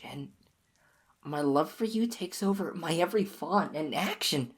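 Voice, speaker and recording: A woman voicing an obsessive, clingy young lover in an audio roleplay, speaking softly and pleadingly in a husky, whispery voice to the listener. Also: deep voice, heavily acted.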